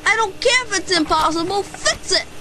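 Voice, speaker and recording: A woman's voice: very high-pitched, animated, normal speed. A woman playing a bumbling, self-important private detective in an amateur radio drama, with a pompous, theatrical delivery. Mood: exasperated haggling.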